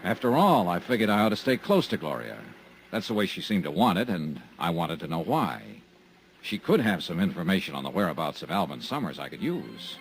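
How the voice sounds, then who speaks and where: An actor playing a wisecracking insurance investigator in a 1950s radio drama, also narrating. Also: male voice, sits low, big pitch swings, brisk, background noise. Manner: dry, wisecracking, laconic.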